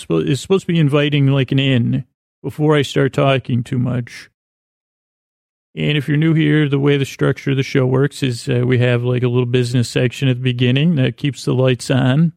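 Male podcast host for sleepy listening: creaky, meandering, repeats himself, gently absurd monologue, very dry recording. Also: mid-range voice, dry recording. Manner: meandering, halting, repeats and trails off mid-thought; whimsical, self-deprecating. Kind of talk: rambling bedtime podcast monologue.

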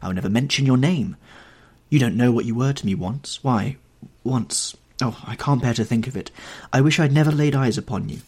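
A man voicing a cruel young gentleman who coldly scorns his lover, in a bitter, disdainful way. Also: medium pitch, brisk, slightly rough. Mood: scornful.

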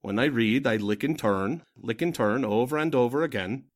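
A man acts out a courteous doctor in a 1940s radio play. His voice sits at a middle pitch, and he speaks politely and reassuringly. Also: moderate pace, natural voice. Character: courteous, kindly Norwegian doctor, a visiting speaker.

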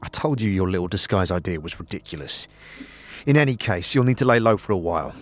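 A man voicing a low, condescending schemer. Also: animated, fast, no room sound. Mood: condescending, brisk. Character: scheming, condescending writer of a secret letter. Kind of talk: letter read in audio drama.

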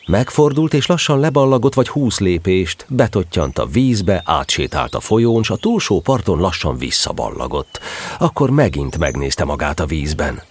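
Deep, husky man telling a storybook tale. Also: big pitch swings. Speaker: narrator. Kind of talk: scripted storybook narration.

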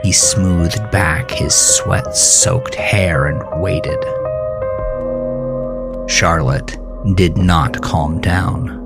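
A male storyteller with a very deep, gravelly voice narrates horror fiction. He is ominous and deliberate, taking his time over each phrase while music plays underneath.

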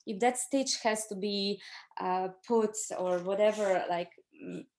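A middle-aged woman with a Croatian accent and a mid-pitched voice. She speaks as a guest sharing her own experience in conversation. Her English is a second language, and she often halts to find the right word. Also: a little mellow, a little hiss or hum. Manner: halting, searching for words in second-language English. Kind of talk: conversational personal storytelling.

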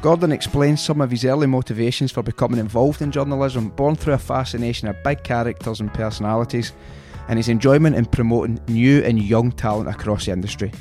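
Scottish man, podcast host, mid-range pitch, friendly, cheeky, faint music underneath. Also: fast talker, mellow. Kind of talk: scripted intro, then casual chat.

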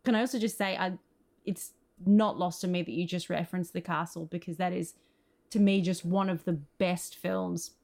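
An Australian woman with a fairly low voice speaks as an interview guest. She is enthusiastic and emphatic, unfolding her anecdotes in a conversational way. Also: steady tone, moderate pace, gravelly, a little mellow. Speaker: screenwriter and actor, interview guest.

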